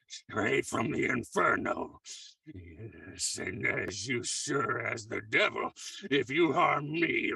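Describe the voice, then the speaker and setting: Spiteful elderly miser, very slow, piercingly forceful rasp, horror radio drama, menacing, a little off-mic. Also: male voice, medium pitch, no room sound, recorded from a distance, exaggerated voice. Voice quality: gravelly, raspy. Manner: menacing, cackling, drawn-out delivery. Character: spiteful, gloating old miserly uncle with a pet raven. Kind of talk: 1940s horror radio acting.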